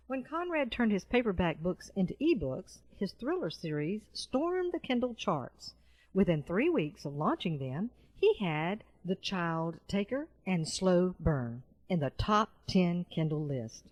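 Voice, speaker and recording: Low-voiced woman narrator with lively intonation. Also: no room sound. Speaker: audiobook narrator. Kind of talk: scripted nonfiction audiobook narration. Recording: dull, muffled lo-fi voice-over.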